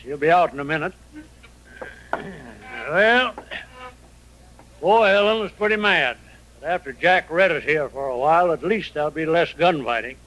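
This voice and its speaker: A middle-aged frontier mayor in vintage radio drama. The voice sits a little high. He is firm and authoritative, like someone chairing a serious meeting. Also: animated, moderate pace, long pauses, fairly bright tone, a little hiss or hum, rough recording. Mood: conversational, resolute. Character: firm, reform-minded mayor of a western town, a father. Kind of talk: 1950s radio drama acting.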